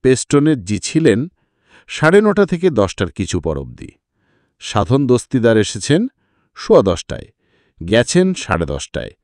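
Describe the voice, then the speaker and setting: Man as a brisk, animated police inspector. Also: mid-range voice, no echo, clean studio sound. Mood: businesslike. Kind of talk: dramatised audiobook dialogue.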